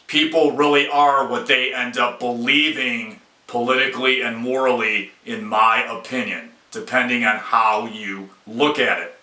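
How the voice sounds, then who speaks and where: An American man giving deliberate, opinionated commentary as the host of an independent video. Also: medium pitch, pushed and bright. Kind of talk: opinion commentary to camera, outlined talk. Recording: echoey room.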